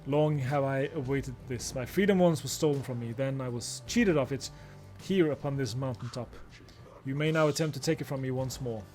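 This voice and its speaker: A man's voice: mid-range voice, normal speed, a little mellow, voice pushed down, natural voice. A man voicing a wronged, resolute exile challenger from a fantasy game, grave and formal, with music behind. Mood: bitter, defiant.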